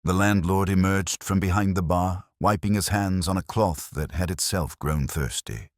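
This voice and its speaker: Very deep male story narrator, dry close sound. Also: dry recording. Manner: measured, reflective, melancholy storytelling. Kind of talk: scripted literary detective story narration.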